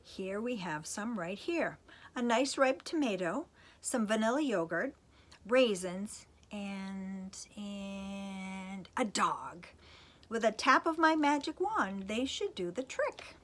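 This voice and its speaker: A woman voicing a brisk, commanding fairy godmother in a children's storybook reading. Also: medium pitch, expressive, a bit bright. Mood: brisk, instructing. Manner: commanding, emphatic. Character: bossy, brisk fairy godmother with magic wand.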